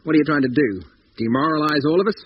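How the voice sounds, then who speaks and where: A man's voice: fairly high pitch, rapid-fire, husky. An actor in a 1950s British radio drama playing a hot-tempered, paranoid spaceship designer who accuses and scorns his crewmates. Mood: accusing. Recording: muffled, noisy 1950s radio recording.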